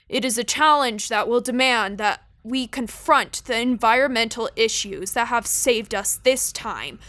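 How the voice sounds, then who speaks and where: A woman playing a solemn news reporter who narrates in a grave, measured, formal style. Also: on the high side, sharp tone, no room sound, clean studio sound. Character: solemn female radio news reporter closing broadcast. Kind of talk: scripted dramatic news narration.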